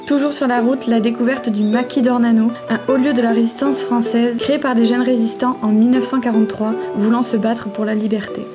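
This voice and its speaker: Woman narrating over guitar music. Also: on the high side.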